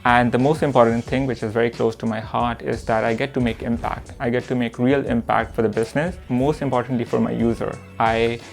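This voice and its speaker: A young man from Pakistan talks about his career in an earnest, measured way, often pausing on "uh" to find his words. His voice is mid-pitched with a gravelly edge, and soft music plays underneath. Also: flat delivery. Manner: earnest, measured, with frequent "uh" pauses. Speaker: product manager and course instructor. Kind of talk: reflective self-introduction to camera.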